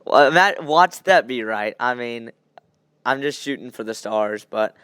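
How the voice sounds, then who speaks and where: A man's voice: medium pitch, lively intonation, a little slow, fairly bright tone, clean tone, no echo. A young man hosting a podcast, chatting casually and giving blunt opinions on sports. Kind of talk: casual sports chat, game predictions.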